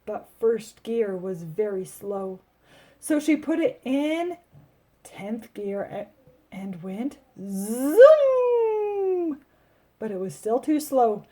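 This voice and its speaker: A woman reads a children's picture book aloud in a teacherly way. Her voice is fairly high and her pace very slow. She is expressive and emphatic, speaking straight to young listeners.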